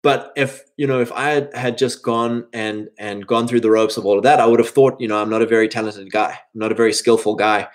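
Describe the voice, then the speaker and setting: A man's voice: lowish voice, steady tone, fairly quick, hardly any pauses. A thirty-year-old man giving an informal, rambling motivational monologue, slipping into imagined quotes as he advises other men. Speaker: speaker giving advice to men.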